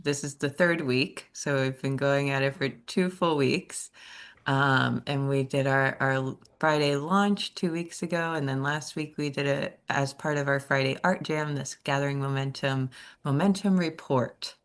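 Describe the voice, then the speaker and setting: A woman hosts a casual live stream in a notably low voice. She talks in a chatty, enthusiastic way, her thoughts rambling from one point to the next. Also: steady tone, a little slow, clear, resonant tone. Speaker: host.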